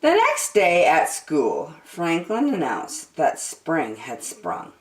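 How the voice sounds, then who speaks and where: A woman's voice: sits low, huge pitch swings, takes it slowly, reverberant, poor audio. A woman reading a storybook expressively as a storyteller for children.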